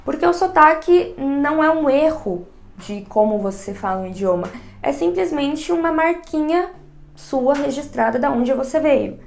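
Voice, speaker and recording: A woman's voice: high-pitched, expressive, moderate pace, gravelly, soft background music. A young woman from São Paulo with a São Paulo accent, talking frankly and chattily in a casual vlog. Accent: São Paulo Portuguese. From Brazil, São Paulo. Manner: chatty, frank, emphatic asides. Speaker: vlogger. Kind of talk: casual direct-to-camera vlog.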